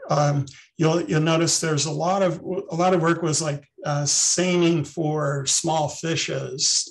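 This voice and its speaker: An older man, a retired biology professor, giving an online talk over a video call, halting with many ums and restarts. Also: on the high side, creaky, poor audio.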